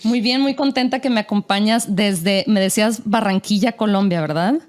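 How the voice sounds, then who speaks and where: A woman's voice: medium pitch, normal speed, a little mellow. A woman hosting a podcast interview, asking questions in a friendly, encouraging way and giving frequent short replies. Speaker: podcast host.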